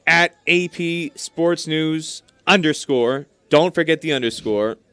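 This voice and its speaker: Higher-pitched, clear-voiced male cricket commentator. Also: slow pace, a bit bright, no room sound. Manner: enthusiastic, talkative, explanatory. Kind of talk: live sports commentary with chatty asides.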